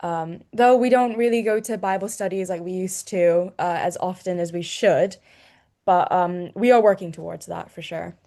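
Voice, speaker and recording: A woman's voice: on the low side, moderate pace, mellow. A young woman student from Hong Kong, giving an earnest personal faith testimony with fillers like "you know.". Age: young adult.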